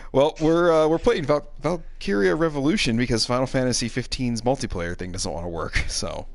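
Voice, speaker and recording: A man's voice: medium pitch, fairly quick, creaky, no echo. A man hosting a game stream with casual, sarcastic and irreverent chat. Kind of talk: casual chat over gameplay.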